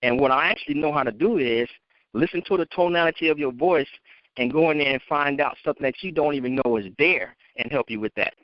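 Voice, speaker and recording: A man's voice: on the high side, quick pace, dry recording. A man giving an unscripted motivational talk on a conference call, addressing listeners directly and emphatically. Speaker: motivational speaker and author. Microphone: phone conference line. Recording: dry, dull lo-fi conference call.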